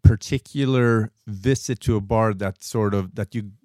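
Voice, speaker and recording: A man's voice: on the low side, moderate pace, darkish tone, no room sound, studio quality. A man with a Swedish accent hosting a casual interview, asking easygoing questions padded with fillers. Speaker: podcast host.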